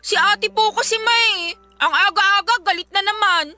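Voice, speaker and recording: A woman's voice: very high-pitched, a little slow, pushed and bright, exaggerated voice. A Filipino woman acting a spoiled, flippant younger sister in a radio drama, cheeky and dismissive. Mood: whining. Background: music.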